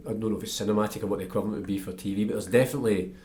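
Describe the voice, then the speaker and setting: A man's voice: fairly low pitch, steady tone, racing, warm tone. A man hosting a casual conversational interview, thoughtfully circling his way toward each point. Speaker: interviewer, podcast host.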